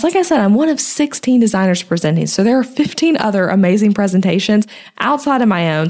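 A young woman hosting her own podcast, talking casually and a little hoarse from a sore throat, dropping in "like" often. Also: lowish voice, a little mellow. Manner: chatty, uses "like" a lot. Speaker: podcast host and web designer. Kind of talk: casual solo podcast talk.

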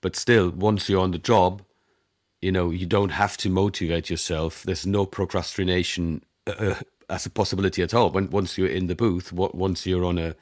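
A man's voice: low voice, fairly even intonation, moderate pace, no room sound, clean studio sound. A man whose first language is German, in his forties, talking as an interview guest about his work as a conference interpreter, with many ums and restarts. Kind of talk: conversational interview.

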